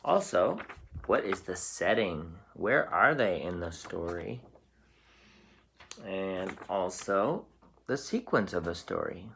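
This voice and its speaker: Lowish-voiced man reading to young children. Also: lively intonation, slow, a bit bright, slight background noise. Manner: clear, simple explanations for young children. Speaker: teacher reading aloud to pupils. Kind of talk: children's storybook read-aloud.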